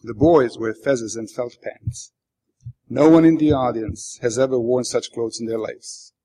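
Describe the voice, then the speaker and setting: A man from Bosnia, a native Bosnian speaker with a Bosnian accent, reads literary prose aloud as its author in a wry, deadpan manner. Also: medium pitch, a little slow, rough recording. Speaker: author reading his own work. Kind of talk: literary reading aloud to an audience.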